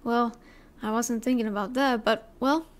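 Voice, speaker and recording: A woman acting an obsessive, possessive scientist in an audio roleplay, coaxing the listener with drawn-out words and breathy drops toward a whisper. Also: on the high side, fairly even intonation, heavy background noise, natural voice. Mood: feigned innocence.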